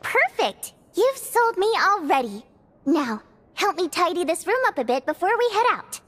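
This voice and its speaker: High, lilting bubbly young schemer. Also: female voice, normal speed, heavily acted. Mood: playful, brisk. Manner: expressive, chirpy, coaxing. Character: bubbly young woman, theater singer and cunning schemer. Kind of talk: video game voice acting. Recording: music under the voice.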